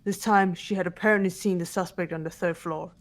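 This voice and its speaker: A woman's voice: lowish voice, steady tone, fairly quick, soft background music, close to an everyday voice. A woman playing a composed, serious teenage girl detective in a mystery video game. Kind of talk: dramatic game dialogue and recap narration.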